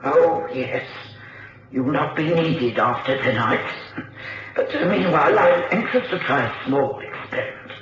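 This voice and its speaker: An echoing, gloating mad scientist. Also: male voice, a little high, expressive. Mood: eager menace. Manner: deliberate, relishing, menacing. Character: mad, gloating male scientist villain obsessed with beetles. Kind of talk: radio drama acting. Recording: noisy, muffled radio recording, very echoey.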